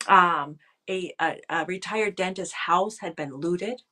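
A woman in her fifties, a political scientist, talking thoughtfully over a video call from a room at home, often pausing to restart her phrases. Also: on the low side, bright, forceful tone. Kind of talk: casual video call interview. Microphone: video call webcam.

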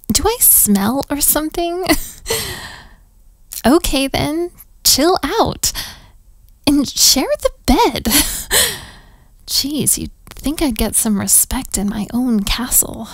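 Bratty princess, twenties, female actor, playful and flirty, very slow delivery, close dry sound, intimate audio roleplay. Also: high-pitched, no echo, heavily acted. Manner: teasing, giggly, casual modern phrasing, dropping into whispers.

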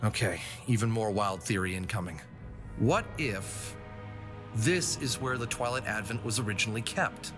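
A man playing an adventurous, quick-thinking fox explorer in an audio drama, with an excitable, earnest manner. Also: on the low side, close to an everyday voice. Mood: thoughtful, intrigued. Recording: loud music under the voice.

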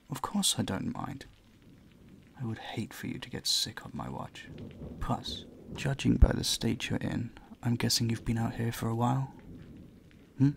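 A man playing a courteous, charming vampire in an intimate audio roleplay, soft-spoken, breathy and soothing, often close to a whisper. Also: medium pitch. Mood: gentle concern. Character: gentle, courteous male vampire, charming and doting. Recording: faint ambient noise behind the voice.

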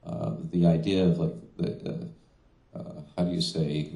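A man's voice: rumbling low, flat delivery, measured pace, vocal fry, warm tone. A middle-aged man, a translator, talking casually at a reading in a small venue, with many fillers and restarts. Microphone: stand mic in front of seated speaker. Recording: echoey room.

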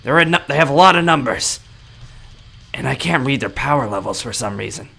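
A man's voice: medium pitch, expressive, a little fast, gravelly, sharp tone, soft background music. A man voicing an urgent young ally who gives warnings and orders in a video game roleplay. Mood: alarmed, urgent. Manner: urgent, instructing. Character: urgent young male tower-bound ally warning of invaders.

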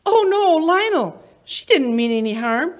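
A woman's voice: high voice, sing-song, measured pace. A woman playing a frightened, remorseful young mother pleading tearfully in 1940s radio melodrama. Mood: frightened, distraught.

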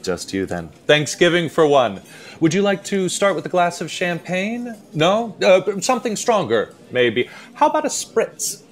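An actor playing an effusive, awkward, self-correcting steakhouse waiter who narrates a comic radio play. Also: male voice, high-pitched, sing-song, a little slow. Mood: eager, awkward. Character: chatty, over-eager, awkward male steakhouse waiter and narrator. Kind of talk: radio play comedy acting.

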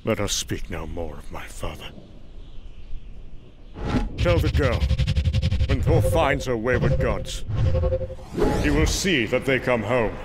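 A man's voice: mid-range voice, animated, slow, long pauses, loud music behind the voice. A man voicing a proud Norse thunder god from a comic book in a grand, theatrical, archaic manner. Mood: grave, brooding. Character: boastful, mighty Norse thunder god, proud warrior storyteller. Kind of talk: dramatic comic book reading with narration.

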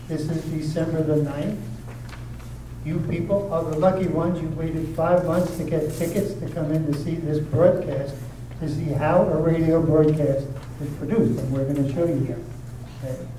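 A man's voice: fairly high pitch, steady tone, normal speed, mellow. Casual stage host, male, keeps checking in with the audience; recorded far off in a noisy, very echoey hall. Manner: chatty, checks in with frequent "okay?". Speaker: host introducing a readers theater show. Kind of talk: casual talk to a live audience. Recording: echoey room, distant mic, noisy.

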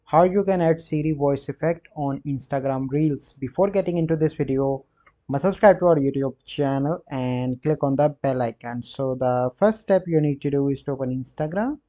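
A man's voice: mid-range voice, mellow. A man hosting a how-to tutorial, narrating steps in a voice-over on a dull, lo-fi recording. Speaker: tutorial host.

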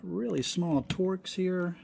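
A man's voice: sits high, takes it slowly, vocal fry, a little mellow, slight background noise. A man giving casual hands-on commentary as he works, thinking aloud in a folksy, exclaiming way. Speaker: hobbyist host of scrapping videos. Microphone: action camera or phone near the workbench.

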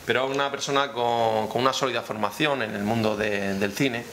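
A man's voice: mid-range voice, fairly even intonation, a little fast, a bit bright. A man giving a semi-formal press conference speech as a town councillor, repeating phrases rhetorically. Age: 30s. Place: indoor press room, seated at a table. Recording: noticeable room background noise.

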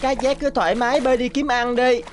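A woman's voice: high-pitched, steady tone, quick pace, music in the background, close to an everyday voice. A woman voicing a kind, humble young orphan boy in a cartoon, polite and earnest. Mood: tender, cheerful.

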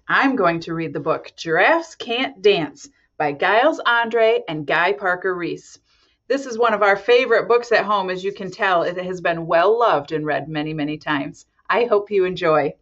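A woman reads a picture book to children in an expressive, deliberate way. Her pitch is very lively and her pace fairly slow. The webcam sound is slightly dull. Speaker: volunteer storybook reader. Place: small office indoors.

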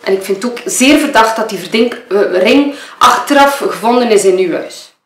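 A woman's voice: fairly high pitch, expressive, moderate pace, natural voice. A woman with a Flemish accent playing a nervous, gossipy model who gives a rambling, hesitant witness statement in an audio murder game. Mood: uneasy, accusing. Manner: hesitant, rambling, self-interrupting. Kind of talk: improvised-sounding monologue for an audio murder game. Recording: roomy, echoing room.

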